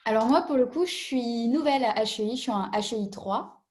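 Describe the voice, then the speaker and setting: A young woman from French Guiana with a slightly high voice. She introduces herself casually on a video call, like a student among older alumni. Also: animated, fairly quick, darkish tone. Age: early 20s. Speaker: engineering student.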